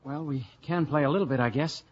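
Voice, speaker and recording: An actor playing a glib, easygoing American insurance detective in a 1950s radio drama, wisecracking and hedging. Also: male voice, on the high side, quick pace, slightly rough. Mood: sheepish. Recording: muffled old radio broadcast.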